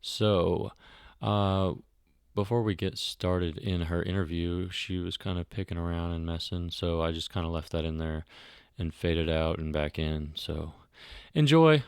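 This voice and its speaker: A man's voice: low voice, unhurried, creaky, clear, resonant tone, no room sound, studio quality. A man from Missouri hosting a podcast, rambling and self-deprecating as he opens the episode with a casual chat. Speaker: podcast host. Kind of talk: casual solo intro to a podcast.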